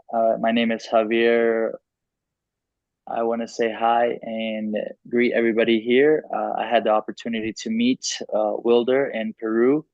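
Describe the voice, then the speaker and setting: A man's voice: on the low side, no room sound. A man working as a consecutive interpreter on an online group call. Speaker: interpreter between English and Spanish. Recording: dry, dull lo-fi call audio.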